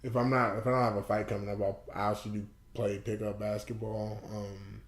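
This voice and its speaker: Relaxed young man in a roomy studio. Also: lowish voice, fairly quick. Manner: relaxed, rambling storyteller who jokes about himself. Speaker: podcast guest, mixed martial arts fighter. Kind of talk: casual podcast chat. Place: podcast studio.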